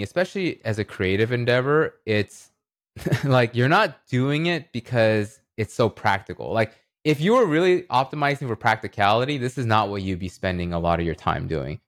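A man's voice: medium pitch, very animated, moderate pace, no echo, studio quality. A man talking casually as a podcast guest, explaining ideas and checking in with "right?" as he goes. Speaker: podcast guest, writer and illustrator. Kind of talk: casual conversational podcast talk.

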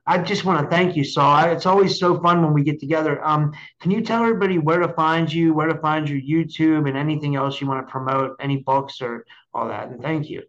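A man hosting a casual interview, effusive and halting, often repeating words, on dull lo-fi audio. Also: fairly high pitch, a bit bright. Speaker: show host.

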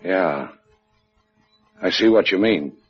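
An American actor playing a tough, wry adventurer in a 1950s radio drama, speaking in a laconic, sardonic way. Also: male voice, low-pitched, slow pace. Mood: wry, guarded. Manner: laconic, hard-boiled, sardonic. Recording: dull old radio recording with music.

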